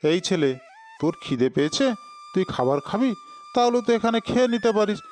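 A man's voice: high-pitched, big pitch swings, a little fast, darkish tone, slight background noise. A man voicing a stern village authority figure in a cartoon, with a blunt, commanding manner. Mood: brusque. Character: stern village landlord and other authority elders. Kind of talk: Bengali cartoon dubbing.

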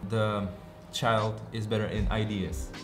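A man's voice: lowish voice, level tone, takes it slowly. A teenage boy from the Czech Republic, a Czech native speaker, answering interview questions hesitantly with many fillers. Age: teens. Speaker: guest. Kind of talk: casual interview. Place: indoor room with wooden wall. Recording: roomy echo, music under it.

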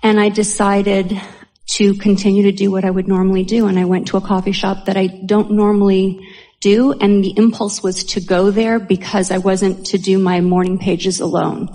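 A woman from a seminar audience telling a personal anecdote conversationally, halting and interrupting herself. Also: mid-range voice, flat delivery, mellow. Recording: reverberant hall sound.

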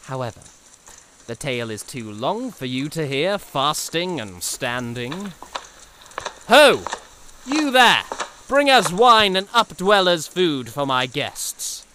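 Man acting a slow, grandiose, haughty young knight. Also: fairly high pitch, sing-song, sharp tone, a little hiss or hum, heavily acted, poor audio. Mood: grandly courteous, then commanding. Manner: grandiose, archaic, theatrical delivery. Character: haughty, courtly young knight under an enchantment. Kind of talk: fan audio drama acting.

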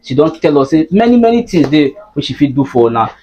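A man in his thirties with a Nigerian accent, hosting a store walkthrough vlog, pitching products in an upbeat, salesy patter and repeating prices in an indoor showroom. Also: sing-song, fast, mellow, highs cut off, a little hiss or hum. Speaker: market blogger.

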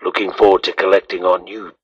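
Menacing bounty hunter, husky, forceful, terse, dull lo-fi phone clip. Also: male voice, deep voice, level tone, fast talker. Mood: cold menace. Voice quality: raspy. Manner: terse, threatening one-liners. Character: cold, menacing male bounty hunter, confident and unhurried. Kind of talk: film and game villain acting. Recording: muffled soundboard clips over phone.

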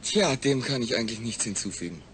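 A man acting in a German audio drama as a calm, rational astronaut, speaking in a measured, explanatory way. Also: mid-range voice, background noise, close to an everyday voice. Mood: reasoning, cooperative.

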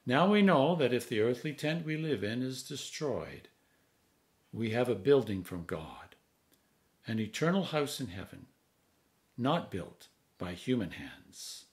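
A man in his sixties, a priest, reading scripture aloud in a measured, solemn manner with deliberate phrasing. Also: mid-range voice, very animated. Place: home study, indoors.

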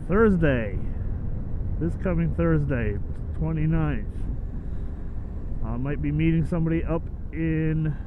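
A man's voice: a little high, slow pace, pauses often. A man, narrating a casual vlog while riding a motorcycle on country roads, rambling loosely with lots of fillers and restarts. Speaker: motovlogger. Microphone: helmet-mounted camera mic. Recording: tinny, narrow sound with loud wind and engine noise.

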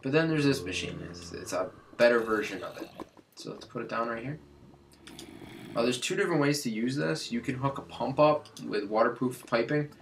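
A man's voice: medium pitch, lively intonation, normal speed, gravelly, a little hiss or hum. A young man with a stuffed-up nose hosting a casual game tutorial, rambling with many fillers and restarts. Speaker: Minecraft tutorial host.

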